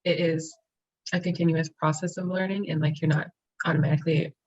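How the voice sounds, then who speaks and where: A woman with a very low, flat voice answering in a group session. The audio is dull and muffled, and she speaks in a measured way with casual fillers. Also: slightly rough. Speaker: training participant.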